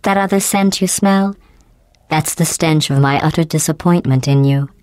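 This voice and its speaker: A woman voicing a cold, sarcastic female AI overseer in a video game, talking in a deadpan, condescending, passive-aggressive way with robotic processing. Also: deep voice, normal speed, no echo. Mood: deadpan, mocking. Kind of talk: game voice clips.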